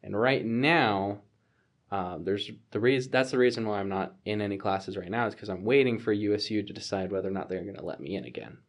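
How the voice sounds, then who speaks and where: A young man in his twenties, chatting casually and reflectively. He rambles with plenty of fillers and restarts, and his voice sits fairly low. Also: a little fast. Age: 20s. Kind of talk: casual conversation.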